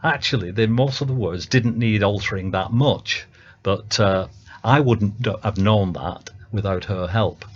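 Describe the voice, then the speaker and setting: A man's voice: on the low side, unhurried. A man with a Northern English accent, chatting as a guest on a radio show about his song, hesitant and full of ums and restarts. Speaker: songwriter guest. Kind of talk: casual radio chat. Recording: dull lo-fi radio audio.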